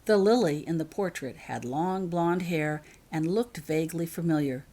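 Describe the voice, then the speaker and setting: A woman's voice: low-pitched, normal speed, dark tone. A woman reading her own scripted fiction aloud as narrator.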